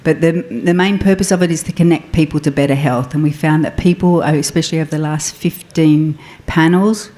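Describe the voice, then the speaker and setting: A woman's voice: deep voice, steady tone, fairly quick, few pauses, dark tone. A woman founder introducing her health platform on a panel, explaining with some fillers.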